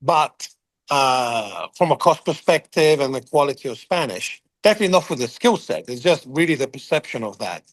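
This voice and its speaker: A man being interviewed as a company chief, talking in quick bursts full of fillers and restarts. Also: medium pitch, expressive, dry recording. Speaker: CEO of a contact center firm, guest. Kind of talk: business interview, unscripted.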